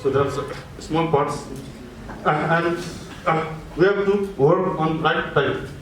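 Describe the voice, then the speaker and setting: A man's voice: a little high, distant mic, slight background noise. Man, echoey hall. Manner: halting, with frequent pauses and fillers. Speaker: senior architect speaker. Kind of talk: advice talk at a seminar.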